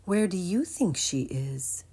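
A woman's voice: on the low side, big pitch swings, slow pace, warm tone, slightly rough. A woman reading a children's storybook aloud expressively.

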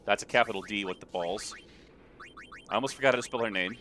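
A man giving casual, crude and excitable commentary as he hosts a video game playthrough. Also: on the low side, quick pace, slight background noise. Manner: crude, sweary, excitable reactions. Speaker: let's play host. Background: video game audio.

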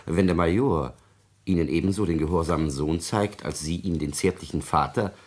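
A calculating villain of a secretary in a 1950s radio drama. The voice is very low and rough, speaking measured with servile insinuation. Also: male voice, darkish tone. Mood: insinuating. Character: sly, obsequious, calculating private secretary, a villain. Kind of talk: 1950s German radio play acting.

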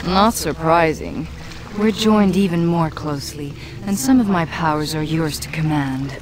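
A woman's voice: deep voice, measured pace, background noise. An actress playing a sly, doting demon woman companion in a fantasy game, with intimate, dramatic delivery. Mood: knowing, assured. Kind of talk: fantasy video game acting. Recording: music under the voice.

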